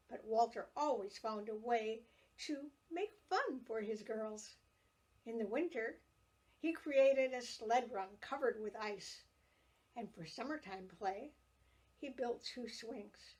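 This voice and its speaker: A woman reads her own childhood memoir aloud at a slow, careful pace. Her voice sits a little high, and she lets each scene unfold with expressive storytelling. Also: low-quality recording. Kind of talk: reading a family memoir aloud.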